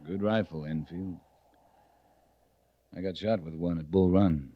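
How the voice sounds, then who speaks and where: Stern, weary American cavalry captain, very low-pitched and terse, old radio western, muffled recording. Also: male voice, measured pace, dry recording. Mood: quietly reflective. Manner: terse, grave. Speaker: actor in a western radio drama. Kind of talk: 1950s radio western acting.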